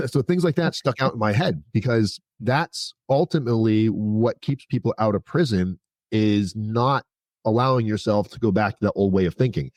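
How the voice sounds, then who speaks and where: A man's voice: on the low side, moderate pace, darkish tone, no echo. A man in his forties speaking as a podcast guest, telling his own story in a frank, conversational way. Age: 40s. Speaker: podcast guest, prison consultant. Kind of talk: candid personal storytelling in an interview.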